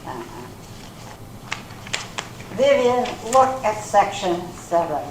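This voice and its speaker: A woman's voice: mid-range voice, sing-song, slow, darkish tone. A woman acting in a readers theater piece as a wry, sardonic older woman who is hard to impress. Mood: dry challenge. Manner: dry, sardonic, challenging. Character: wry, skeptical older woman, once famous, unimpressed. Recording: echoey hall from far off, faint noise.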